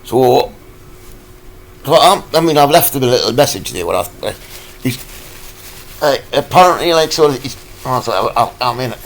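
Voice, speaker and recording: Croaky, rambling man. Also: medium pitch, animated, rough recording. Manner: rambling and halting, full of fillers. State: croaky from a cold. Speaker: vlogger. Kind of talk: ranting political vlog.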